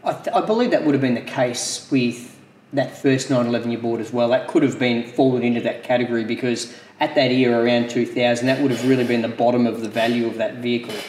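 A man's voice: mid-range voice, fairly even intonation, fast, a little mellow, reverberant, slight background noise, rough recording. A man hosting a casual podcast interview, chatty and asking leading questions. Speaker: podcast host.

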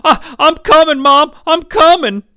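A man's voice: sits high, expressive, moderate pace, sharp tone, no room sound, studio quality, voice pushed up. A man voicing an anxious young boy in a story, pleading and urgent. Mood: desperate.

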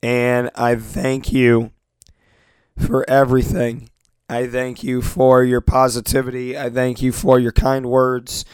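Male sports show host, hoarse from a lost voice, flat earnest delivery, dry close sound. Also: mid-range voice, takes it slowly, dry recording. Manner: earnest, repetitive, grateful, many restarts. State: losing his voice, hoarse. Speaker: sports talk radio host. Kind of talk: solo internet radio show monologue.

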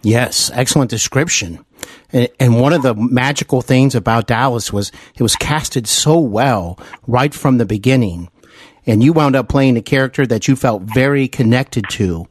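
A man with a medium-pitched voice hosts an interview podcast. He speaks in an attentive, deliberate and agreeable conversational style. The sound is dry, with little room echo. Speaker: podcast host.

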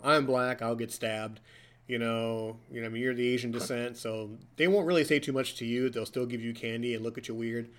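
A man in his forties hosting a podcast from a hotel room, chatty and joking with his co-host. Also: medium pitch. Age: 40s. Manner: joking, chatty, playful ribbing. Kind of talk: casual podcast chat.

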